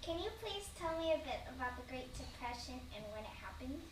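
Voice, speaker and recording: A woman's voice: high-pitched, expressive, fairly quick, echoey room, recorded from a distance, noisy background. A young interviewer asking her grandparents prepared questions politely at home by the fire. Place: home, beside a fireplace.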